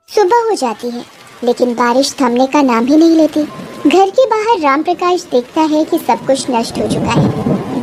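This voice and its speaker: A woman's voice: sits high, noisy background. A woman reading scripted, dramatic story narration.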